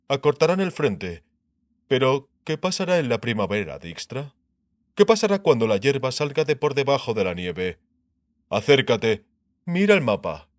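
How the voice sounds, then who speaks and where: A man voicing an assured, sharp-minded king in an audiobook, lecturing on war in declarative phrases and rhetorical questions. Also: medium pitch, no room sound, studio quality, natural voice. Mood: probing, insistent. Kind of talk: dramatised audiobook dialogue in Spanish.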